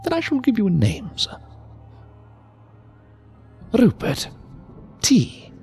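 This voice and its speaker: Cryptic, menacing stranger for sci-fi audio drama. Ornate, courteous diction, wildly swinging pitch, faint music beneath. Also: huge pitch swings, creaky, a little mellow, breathy edge, heavily acted. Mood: sly, teasing. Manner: formal, ornate, deliberate phrasing.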